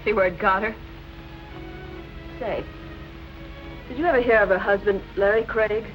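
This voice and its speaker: An actress playing a bitter, jealous nightclub performer in 1940s film noir style. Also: female voice, mid-range voice, normal speed, dull audio, natural voice. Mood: bitter, brusque. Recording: old film soundtrack, music behind.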